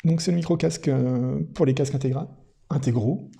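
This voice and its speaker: Gravelly, very dark-toned man unboxing gear. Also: mid-range voice, fairly quick. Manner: hesitant, thinking aloud. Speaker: product reviewer presenting an unboxing. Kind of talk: casual unscripted unboxing commentary.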